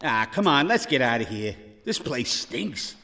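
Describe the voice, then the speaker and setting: Male actor as blustery cop, bright forceful voice, swooping intonation, superstitious grumbler, radio drama, noisy recording. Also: medium pitch, big pitch swings, unhurried, exaggerated voice. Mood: disgusted. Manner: blustery, exclamatory, colloquial grumbling. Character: gruff, superstitious middle-aged Irish police sergeant, blustery and earthy.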